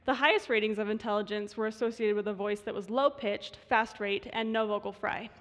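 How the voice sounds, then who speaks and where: A woman in her twenties with vocal fry, a student voice researcher giving a prepared stage talk in measured tones. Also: medium pitch, fairly even intonation. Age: 20s. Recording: reverberant hall.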